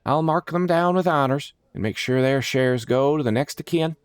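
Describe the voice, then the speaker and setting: A man voicing an old male guild clerk, brisk, clipped and businesslike, in a storybook. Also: fairly high pitch, smooth, dry recording, studio quality, pitched above the performer's own voice, natural voice. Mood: solemn. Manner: matter-of-fact, clipped listing. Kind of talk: storybook character dialogue.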